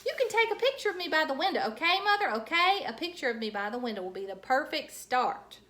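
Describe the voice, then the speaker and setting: A woman voicing an excitable, loud, cheeky first-grade girl in a storybook, exclaiming in childish grammar. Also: high voice, quick pace, pitched above the performer's own voice. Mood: excited, loud.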